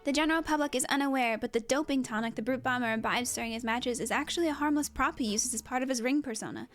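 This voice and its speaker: A woman's voice: sits high, fast, lightly acted. A woman voicing an earnest, anxious and well-informed ally in a video game story, explaining things with care. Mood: concerned. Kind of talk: amateur voice acting of game dialogue.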